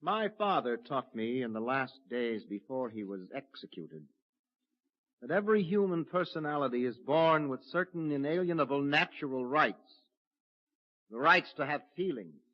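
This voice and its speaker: An actor playing Orrin, a defiant young mutant with a twisted leg, speaking as an impassioned orator in a vintage radio drama. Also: male voice, on the high side. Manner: impassioned orator, tender when quiet. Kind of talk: 1950s radio drama acting. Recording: muffled vintage radio broadcast.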